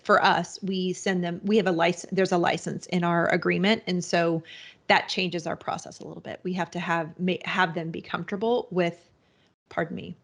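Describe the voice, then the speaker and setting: A woman's voice: on the low side, level tone, moderate pace. A woman guest on a podcast, explaining her business in a rambling, casual way with many restarts and fillers. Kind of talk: casual interview answers.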